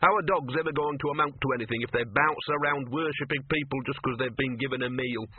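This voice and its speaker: A man's voice: medium pitch, fast talker, a little hiss or hum, lightly acted. A man voicing a cynical, streetwise talking dog in a storybook, grumbling and colloquial. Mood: disgruntled. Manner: grumbling, colloquial, world-weary. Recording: muffled radio-like narrow band, roomy.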